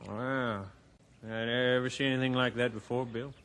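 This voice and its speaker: An actor playing a folksy, concerned small-town sheriff in an old radio adventure drama, plain-spoken and informal. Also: male voice, mid-range voice, fairly even intonation, normal speed, fairly bright tone, lightly acted.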